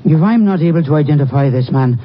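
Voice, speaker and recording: A man's voice: on the high side, animated, quick pace, natural voice. Hesitant, earnest townsman played by a man. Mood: unsure. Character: hesitant, anxious male crime victim and witness. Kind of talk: 1950s western radio drama acting. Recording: muffled, faintly noisy vintage radio recording.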